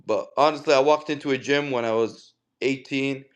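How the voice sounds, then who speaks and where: A man's voice: mid-range voice, fairly even intonation, normal speed, smooth. A man, a pro boxer chatting casually as a guest in an interview and telling stories in loose, slangy speech. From Canada. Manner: laid-back, slangy storyteller.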